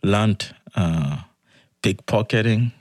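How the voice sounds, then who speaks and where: A man telling his life story as an interview guest, talking in a halting, soft-spoken way with frequent restarts. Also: mid-range voice, breathy edge, dry recording, studio quality. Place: podcast studio.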